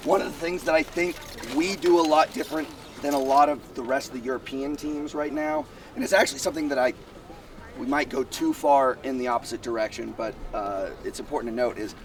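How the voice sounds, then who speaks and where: A man's voice: mid-range voice, lively intonation. Dude losing his voice explaining stuff, rambly, mic far off outside, a little traffic noise. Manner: rambling explanatory, quick bursts. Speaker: combat coach. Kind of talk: explaining technique at a seminar. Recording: distant mic outdoors, faint traffic.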